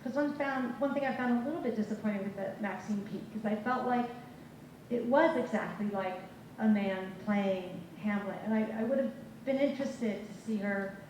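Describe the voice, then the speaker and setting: A woman's voice: medium pitch, moderate pace, darkish tone, lo-fi sound. A woman in the audience asking a question hesitantly, with many fillers. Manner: hesitant, lots of ums. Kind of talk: question from the floor. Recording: echoey hall from far off, noisy.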